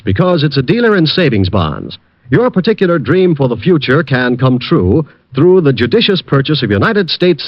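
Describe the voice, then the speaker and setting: A man's voice: mid-range voice, dry recording. A man announcing on a 1940s radio program with polished, formal delivery. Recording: dull old radio broadcast with music.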